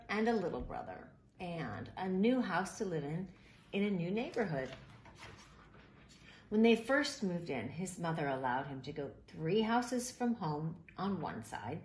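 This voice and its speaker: Female storybook reader, lively and expressive pitch movement, children's picture book read-aloud, recorded in an echoey room with faint noise. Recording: roomy echo, faint background noise.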